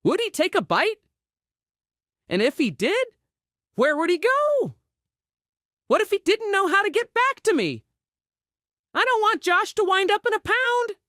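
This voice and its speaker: Woman playing an excitable, high-pitched little dog. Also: a little slow, long pauses, no room sound, clean studio sound, full character voice. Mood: worried. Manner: exclamatory, eager, wide-eyed. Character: excitable, devoted little pet dog narrating his adventure.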